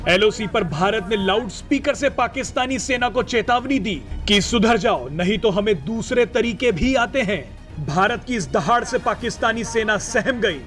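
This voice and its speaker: A man's voice: high voice, animated, normal speed, a bit bright, soft background music. A man reading a scripted television news report as a narrator.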